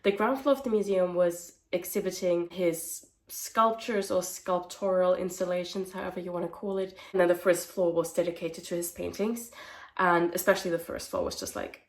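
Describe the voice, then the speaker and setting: A young woman talking casually to camera as a vlogger, her voice hoarse because she is a little sick, chatty and full of "like" fillers. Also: lowish voice, normal speed. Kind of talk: casual vlog talk to camera.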